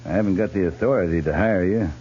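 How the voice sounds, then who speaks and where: An actor playing a fair-minded American cavalry captain, speaking in a measured, plain and firm way in a 1950s radio western. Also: male voice, sits low, mellow, natural voice. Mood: sober. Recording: muffled 1950s radio recording with hum.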